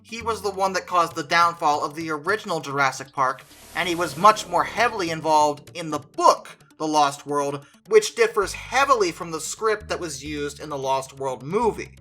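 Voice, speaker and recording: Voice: medium pitch, expressive, normal speed, hardly any pauses, pushed and bright, soft background music. A reviewer giving opinionated movie commentary in a conversational, emphatic way. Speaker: video essayist.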